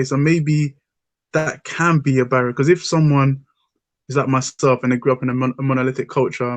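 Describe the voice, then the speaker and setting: Host: man, even-toned, thoughtful, lots of ums, dry close sound. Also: medium pitch, no room sound. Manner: thoughtful, hesitant with many ums. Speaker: podcast host. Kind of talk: casual discussion.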